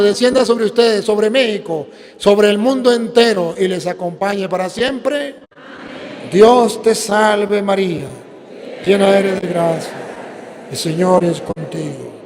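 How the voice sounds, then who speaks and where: Venezuelan priest preaching in a reverberant hall. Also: male voice, high-pitched. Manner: preaching with slow, emphatic stresses, then plain appeal. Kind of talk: sermon and prayer.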